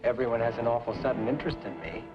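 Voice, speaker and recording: A man's voice: mid-range voice, lively intonation, rapid-fire, natural voice. An actor playing a wry frontier newspaperman and father in an old-time radio western. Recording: dull old radio recording, music behind.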